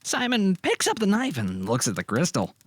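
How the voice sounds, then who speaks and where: Male narrator for amateur audio drama: animated intonation, scripted delivery, slightly noisy recording. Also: sits high, normal speed.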